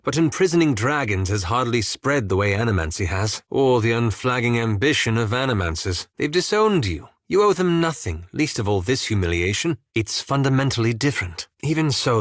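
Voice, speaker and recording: A man playing a courteous, reserved elven wizard in a fantasy game, with formal, articulate and measured delivery. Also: medium pitch, no echo, studio quality, close to an everyday voice. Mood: serious, skeptical. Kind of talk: scripted fantasy game dialogue.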